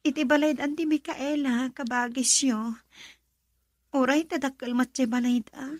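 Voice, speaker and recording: A young Filipino daughter in a radio drama, high in pitch. She speaks respectfully and hesitantly, in a close, dry recording. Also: steady tone, a little slow, no echo. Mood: hesitant, grateful. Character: soft, dutiful young daughter talking to her father.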